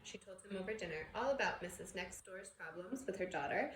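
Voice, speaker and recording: A woman giving an expressive read-aloud narration of a children's novel. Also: lowish voice, fairly quick. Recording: roomy echo with faint background noise.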